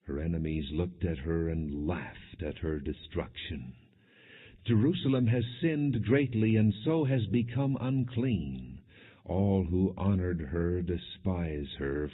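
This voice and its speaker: A man reading scripture aloud as a solemn audiobook narration, with measured, deliberate phrasing. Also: low-pitched, slightly rough. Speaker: audiobook Bible reader. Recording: muffled, phone-like narrow-band audio.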